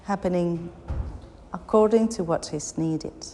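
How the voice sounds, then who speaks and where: Slow-paced woman guiding meditation, long reverb. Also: fairly low pitch, mellow. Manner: soothing, unhurried instructions with long pauses. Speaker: yoga nidra meditation guide. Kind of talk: guided meditation. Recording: long reverberant hall, background noise.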